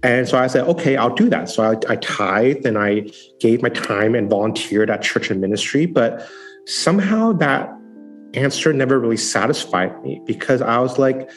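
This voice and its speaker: A man's voice: medium pitch, lively intonation, moderate pace, faint music behind. A young adult man telling his life story in a casual, earnest way, acting out what he and others were thinking. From born in San Francisco, raised partly in Hong Kong. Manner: earnest storytelling with acted-out inner quotes. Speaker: guest, former software engineer turned digital missionary. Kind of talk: casual personal testimony.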